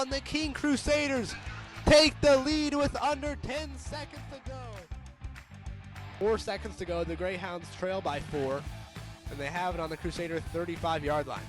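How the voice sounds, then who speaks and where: A young American man giving live radio sports commentary, moving between measured description and excited, shouted calls. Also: very high-pitched. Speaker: play-by-play sports announcer.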